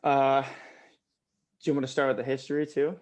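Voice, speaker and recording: This is a man in his late twenties talking on a podcast mic. He speaks at a middle pitch, loose and chatty. He laughs often and fills his sentences with "like". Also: level tone, brisk, darkish tone. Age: 20s. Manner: laughs often, many "like" fillers. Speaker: podcast guest, pro paintball player. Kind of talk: casual podcast chat.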